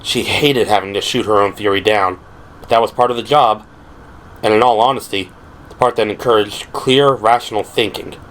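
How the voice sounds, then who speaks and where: A man narrating an audio drama in a measured, scripted storytelling style. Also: on the low side, fairly bright tone, rough recording.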